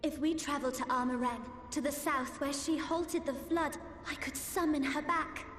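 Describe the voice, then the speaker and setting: A woman's voice: sits high, fairly even intonation, normal speed, fairly bright tone. A woman playing an earnest, hesitant but resolute teenage girl in a fantasy video game cutscene. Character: earnest teenage girl, a young seer's ward in a fantasy world. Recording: echoey hall reverb, music behind. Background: faint music.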